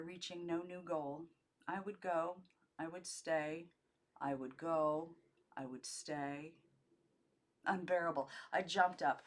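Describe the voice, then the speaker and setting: A woman narrating a memoir as an amateur audiobook reader, with expressive, emphatic storytelling phrasing. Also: fairly low pitch.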